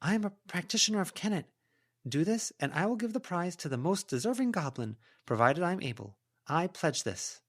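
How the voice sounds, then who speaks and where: A man reads as a young girl witch in a fantasy audiobook, pitched well above a grown man's talk. The character is bossy and eager, coaxing and giving orders with urgency. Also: big pitch swings, fairly quick, full character voice. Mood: solemn pledge.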